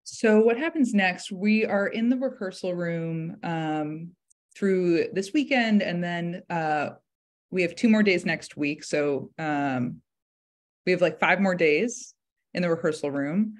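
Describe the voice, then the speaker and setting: A woman's voice: lowish voice, slow. A woman in her thirties, a director and panel guest, explaining things conversationally on a video call in a hesitant, thoughtful, step-by-step way. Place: indoor room, video call. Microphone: video call microphone.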